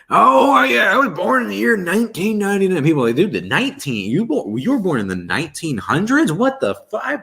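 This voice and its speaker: Young man, very deep voice, rambling livestream host, restarts often, reads chat out loud. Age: 20s. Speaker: streamer host.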